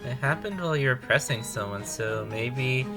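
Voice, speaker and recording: A woman playing a level-headed defence partner who reasons calmly and explains in an audio drama. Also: rumbling low, normal speed, music in the background. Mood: reflective.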